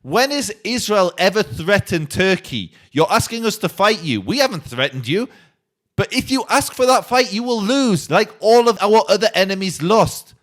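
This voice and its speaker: A man arguing forcefully in a street debate, assertive and quick to push back. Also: high voice, lively intonation. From United Kingdom. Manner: assertive, argumentative, calls others bro.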